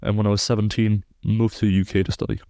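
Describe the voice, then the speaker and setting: Low-voiced man explaining research. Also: little pitch movement, fast talker, dark tone, no echo, studio quality. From Belgium. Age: 20s. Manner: precise, technical, fluent explainer. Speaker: guest researcher. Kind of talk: podcast interview, explaining research.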